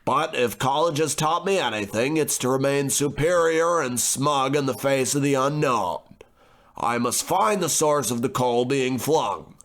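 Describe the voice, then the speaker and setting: A man playing a smug, pompous, well-educated gentleman in a comic old-time radio play. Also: medium pitch, expressive, a little slow. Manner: pompous, haughty, declamatory.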